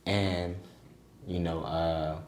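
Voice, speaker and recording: A man's voice: sits low, flat delivery, drawn-out words, a little mellow, echoey room, a little hiss or hum. Laid-back young man with DC accent. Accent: Washington DC area. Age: 20s. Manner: laid-back, candid storytelling with street slang. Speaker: podcast guest. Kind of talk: casual interview conversation.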